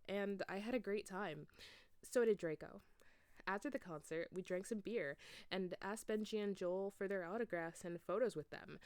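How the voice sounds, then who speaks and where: A woman reading a story aloud in an expressive, theatrical way. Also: on the low side, no room sound. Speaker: amateur fanfiction reader.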